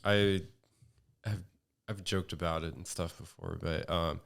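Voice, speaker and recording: Low, smooth-voiced monotone man. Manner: halting, many fillers and restarts. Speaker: podcast guest. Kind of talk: casual chat.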